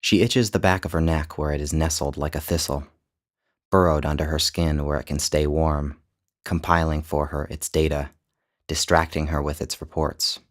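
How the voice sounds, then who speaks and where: Super deep male narrator reading a short story, measured, not much pitch movement. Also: level tone. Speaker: author and narrator.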